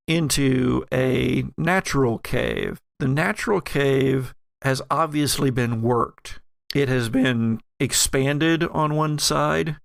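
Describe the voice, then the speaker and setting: A man runs a tabletop horror game as its narrator. His voice is mid-pitched and creaky, and he feels his way haltingly through descriptions in a dry recording. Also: dry recording. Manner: halting, descriptive, self-correcting. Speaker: game master.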